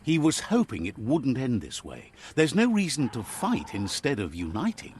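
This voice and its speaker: An actor playing a wry, fond storybook narrator in a game, with a measured storyteller's delivery. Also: male voice, medium pitch, wildly swooping pitch, darkish tone, a little hiss or hum. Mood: grave. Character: male storybook narrator relaying creatures' words, wry and fond.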